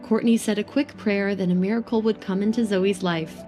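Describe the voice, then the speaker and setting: A woman's voice: mid-range voice, steady tone, moderate pace, mellow, soft background music. A woman narrating a dramatic scripted story as an audio drama narrator.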